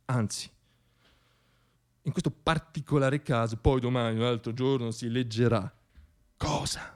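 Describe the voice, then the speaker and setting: A man's voice: medium pitch, fairly even intonation, a little slow. A man performing a satirical radio theatre monologue in a dramatic, ironic storytelling manner. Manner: dramatic, ironic, shifting between hushed and declaimed.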